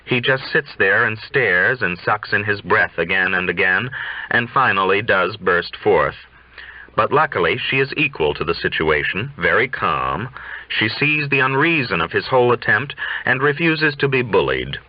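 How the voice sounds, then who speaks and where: A man reading literary fiction aloud as an audiobook narrator, in a measured, deliberate way. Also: lowish voice, animated, no room sound. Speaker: audiobook reader. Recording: dull, dry, lo-fi audio.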